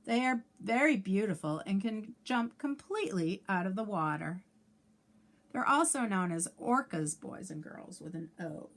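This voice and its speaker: A woman reading a children's picture book aloud like a teacher, sing-song and clearly enunciated, with asides and questions for young listeners. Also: mid-range voice, lively intonation, measured pace, slight background noise.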